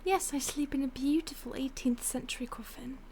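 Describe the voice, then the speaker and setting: A woman voicing a possessive female vampire stalker in an audio roleplay, whispering breathily with a slow, taunting, condescending manner. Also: sits high, fairly even intonation, darkish tone, a little hiss or hum. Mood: teasing.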